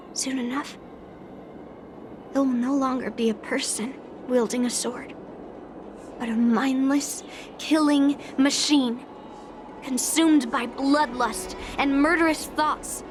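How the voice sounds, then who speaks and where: A woman's voice: high voice, steady tone, slow, pauses often, noisy background. An actress voicing a fierce, stubborn young swordswoman in a dubbed game cutscene, speaking bluntly and with determination. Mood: grim. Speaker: game character. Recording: game audio over loud music.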